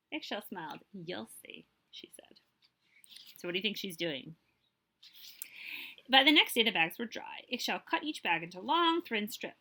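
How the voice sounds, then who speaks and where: A woman librarian reading a picture book aloud to children, with expressive storytelling and explanatory asides and questions for her listeners. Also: a little high. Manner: expressive storytelling, pausing to check understanding with listeners.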